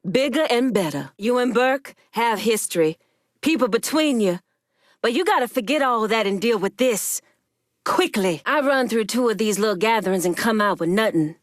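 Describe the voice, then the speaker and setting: A stern Haitian woman crime boss from a video game, acted by a woman, recorded dry. Her voice sits at a medium pitch. She is proud and shrewd, with a commanding, deliberate, street-tough delivery. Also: no echo, lightly acted. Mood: firm, insistent, then impatient, calculating. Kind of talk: video game character dialogue.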